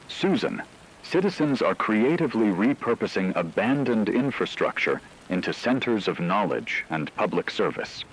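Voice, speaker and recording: Man playing a deep, solemn radio news anchor. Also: a little hiss or hum, exaggerated voice, poor audio. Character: grave male radio news anchor in a dystopian broadcast. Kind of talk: scripted radio news narration.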